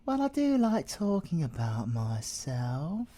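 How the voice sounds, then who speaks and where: A man's voice: a little high, huge pitch swings, warm tone, heavily acted. A British man playing a playful, possessive, seductive siren villain in a theatrical ASMR audio roleplay. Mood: boastful, flirtatious. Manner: teasing, theatrical, drawn-out delivery with drops into whispering.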